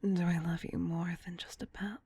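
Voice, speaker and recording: A woman playing a tender, possessive vampire queen in an ASMR roleplay, in a breathy whisper with a soothing, intimate, doting manner. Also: fairly low pitch, flat delivery, moderate pace, mellow. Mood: adoring.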